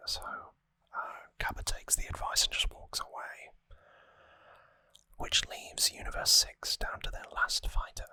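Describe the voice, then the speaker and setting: An ASMR host whispering an informal recap close to the microphone, in short phrases with hesitant pauses. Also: bright, forceful tone, no room sound, low-quality recording.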